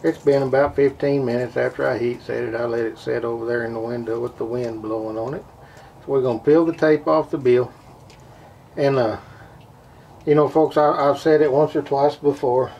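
A man's voice: mid-range voice, steady tone, moderate pace, gravelly, darkish tone. A man, talking easygoing and folksy while demonstrating lure painting in an indoor workshop. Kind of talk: casual how-to demonstration. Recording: distant mic, noisy workshop.

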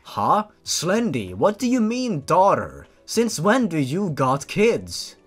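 A man's voice: fairly high pitch, wildly swooping pitch, takes it slowly, breathy edge, faint music behind, voice pushed up, exaggerated voice. A man voicing a brash, teasing male killer in a story reading, flippant and casual. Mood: baffled.

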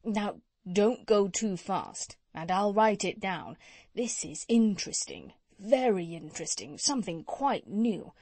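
Woman playing a mild, earnest gentleman doctor. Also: medium pitch, lively intonation, unhurried, no echo. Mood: eager. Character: gentle, honest, curious male country doctor.

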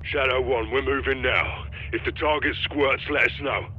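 An actor playing a hard, grim special-forces operator, speaking tersely in a military game. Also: male voice, medium pitch, fairly even intonation, normal speed, muffled recording, slight background noise, natural voice. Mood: brisk. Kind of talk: military game radio dialogue.

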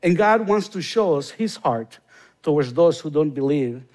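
A man's voice: on the high side, expressive, normal speed, darkish tone. A man in his forties from Honduras with a Honduran Spanish accent, a guest pastor preaching a sermon and praying, earnest and rising to emphatic bursts. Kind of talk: sermon with prayer. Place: large church stage, indoors.

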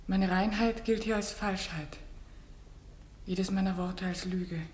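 A proud queen defends herself with solemn, anguished dignity, played by an actress. The delivery is declamatory, in the manner of 1960s radio drama. Her mid-pitched female voice rings in an echoey room. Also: steady tone, takes it slowly, close to an everyday voice. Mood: wounded, resigned.

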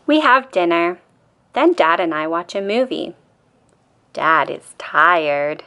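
A woman reading a children's picture book aloud as an expressive, deliberate bedtime story. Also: lowish voice. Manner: deliberate, acts out quoted lines in a child-directed way.

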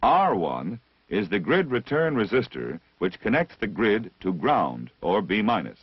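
A man narrates a vintage instructional film in a slightly low, animated voice with deliberate, didactic phrasing. His tone is a little husky, and the old soundtrack is dry and narrow, dulling the sound. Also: dry recording. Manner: measured, didactic, deliberate enunciation. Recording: dull, band-limited vintage film soundtrack.

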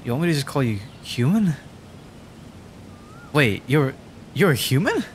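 A man acts out a young male dragon, injured, timid and awkwardly endearing. He speaks slowly at a middling pitch, stammering and rambling in hesitant bursts. It is a fantasy roleplay audio with some background noise. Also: big pitch swings. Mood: shy, curious. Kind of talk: roleplay ASMR audio drama.

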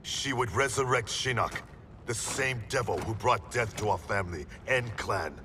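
An actor playing a vengeful, grave ninja clan master in a video game, speaking formally and solemnly. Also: male voice, lowish voice, normal speed, noisy background, lightly acted. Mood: grave, intense. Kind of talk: dramatic video game cutscene acting. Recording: loud music behind the voice.

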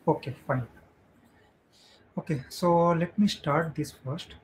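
A man hosting an online meetup, giving casual, halting instructions to attendees. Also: on the high side. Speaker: meetup host. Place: online video meeting. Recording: noisy online meeting audio.

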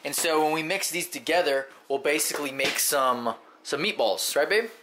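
A man's voice: a little high, moderate pace. A young man, a medical student, chatting casually and jokingly while cooking in a small home kitchen, filmed on a handheld camera. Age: young adult. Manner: chatty, joking, heavy on "like" fillers. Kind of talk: casual cooking vlog chat. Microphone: handheld camera mic. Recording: echoey kitchen with background noise.

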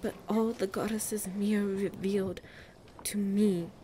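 Woman acting a slow, solemn regal ruler. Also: mid-range voice, steady tone, mellow, slight background noise, lightly acted. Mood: solemn pleading. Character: grave regal mystical woman ruler pleading for her people. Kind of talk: audio roleplay acting.

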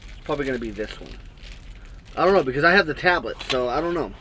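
A man's voice: mid-range voice, lively intonation, fast, sharp tone, a little hiss or hum, rough recording. A man in his thirties, a trucker, casually unboxing and reviewing gear in his truck cab, rambling and thinking aloud with lots of fillers, recorded on an action camera. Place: small truck sleeper cab, indoors. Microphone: action camera on the cab wall, built-in mic.

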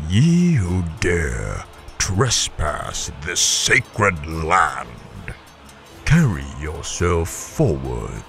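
A man voicing a solemn, menacing ancient gatekeeper with archaic, booming, drawn-out delivery for a fantasy game. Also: creaky, pushed and bright, heavily acted.

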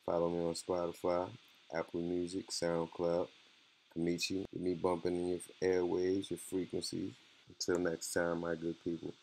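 Laid-back man with a very low voice. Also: monotone, normal speed, gravelly, a little mellow, low-quality recording. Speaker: music production tutorial host. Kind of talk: casual screen-recorded software tutorial.

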